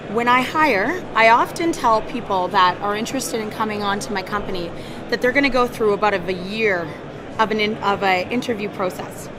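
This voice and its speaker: A woman in HR giving an earnest conference talk, with a scratchy voice, often repeating her words. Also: medium pitch. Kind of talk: conference presentation. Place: conference hall.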